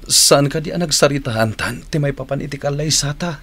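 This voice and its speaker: An actor with a Filipino accent playing a caring, earnest young boyfriend in a radio drama. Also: male voice, on the high side, brisk, fairly bright tone. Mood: hurt, questioning.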